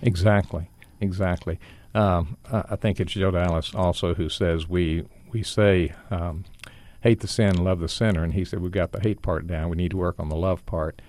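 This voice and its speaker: Deep-voiced earnest man. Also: fairly even intonation, moderate pace, darkish tone, no room sound. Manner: earnest, reassuring. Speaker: ministry guest.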